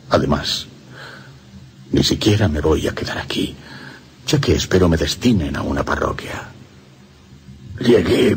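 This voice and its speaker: Very deep-voiced tormented priest. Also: male voice, slight background noise, exaggerated voice. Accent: Castilian Spanish. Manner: dramatic, anguished, lamenting. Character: tormented young seminarian priest, desperately lovesick, confessing. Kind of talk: Spanish radio drama monologue.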